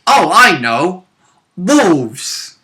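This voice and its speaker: A man chatting casually as a player in a tabletop game recap, jokey and quick to interject. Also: high-pitched, very animated, sharp tone, rough recording. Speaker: player and co-host of tabletop role-play recap. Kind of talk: casual chat.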